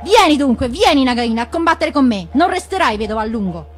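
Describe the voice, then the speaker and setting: A woman's voice: high-pitched, sing-song, fast talker, faint music behind, voice pushed up. A woman voicing a bold, taunting young female mongoose warrior in a storybook reading, crowing and chanting. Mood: triumphant. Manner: crowing, taunting, chanting her own name.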